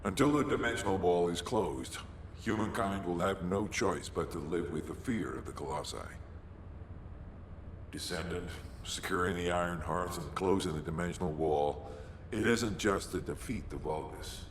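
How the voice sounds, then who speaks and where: Actor as very deep, solemn male mentor. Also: reverberant, a little hiss or hum, close to an everyday voice. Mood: solemn, approving. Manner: measured, solemn, formal. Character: grave, formal male mission overseer, solemn mentor. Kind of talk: scripted game cutscene acting.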